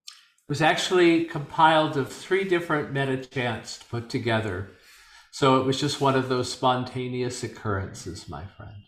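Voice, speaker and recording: A man's voice: mid-range voice, moderate pace, a bit bright. A man adding casual, matter-of-fact remarks to the discussion. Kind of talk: casual chat. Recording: roomy echo.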